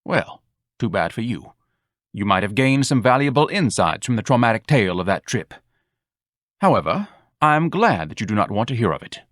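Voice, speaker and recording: A man's voice: fairly low pitch, a bit bright, no room sound, clean studio sound. A man voicing a pompous, self-pitying fat young man who speaks in lofty, grandiloquent phrases. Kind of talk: audiobook dialogue.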